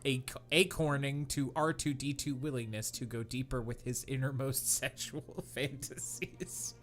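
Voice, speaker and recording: A man's voice: medium pitch, steady tone, measured pace, faint music behind. Man reading aloud with mock earnestness. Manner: reads with mock earnestness, breaks into laughter. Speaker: podcast host reading fanfiction aloud. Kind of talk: comedic dramatic reading with sarcastic asides.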